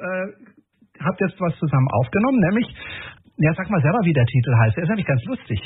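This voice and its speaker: A man's voice: a little high, very animated, fast, husky, phone-quality sound. A male radio host chatting casually and upbeat with guests in a studio. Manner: chatty, upbeat, lots of fillers.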